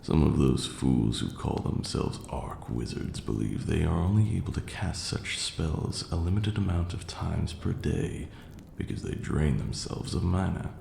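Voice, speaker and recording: Ancient male vampire lord, acted by a man for ASMR roleplay: very low, heavy fry, formal, condescending yet tender mentor. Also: warm tone, heavily acted. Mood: lecturing, assured. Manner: measured, formal archaic diction, condescending yet doting. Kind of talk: slow intimate ASMR roleplay acting. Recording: roomy echo, faint background noise.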